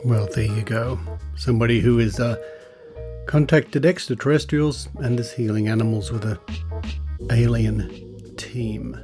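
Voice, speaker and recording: A man's voice: medium pitch, measured pace, long pauses, music in the background. An Australian man hosting a podcast, talking casually and reading aloud in a wry, skeptical manner. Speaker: podcast host.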